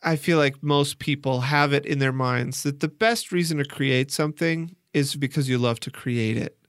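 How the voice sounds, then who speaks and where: Higher-pitched man, dry close sound. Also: moderate pace, a bit bright, smooth, dry recording. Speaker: podcast host and interviewer. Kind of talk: narration and conversational interviewing.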